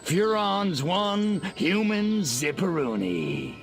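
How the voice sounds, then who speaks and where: A man's voice: sits high, sing-song, takes it slowly, vocal fry, exaggerated voice. A man playing a small, cocky, wisecracking alien invader in a video game, smug and taunting. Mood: mocking. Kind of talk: video game character acting. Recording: loud music behind the voice.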